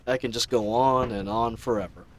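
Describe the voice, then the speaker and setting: A man playing an earnest, chatty knight captain in a fantasy audio roleplay, reflective and rambling, over clearly audible ambient sound effects. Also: mid-range voice, steady tone, moderate pace, noisy background, lightly acted. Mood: animated, rambling. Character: earnest, chatty young male knight captain, idealistic and teasing. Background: added outdoor ambience sound effects.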